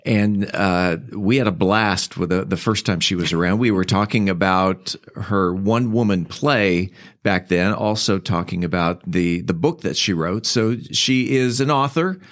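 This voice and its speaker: A man's voice: sits low, a little slow, fairly bright tone, no echo. A man hosting a podcast from a studio, presenting to listeners as the show's host. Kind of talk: scripted promo and interview hosting.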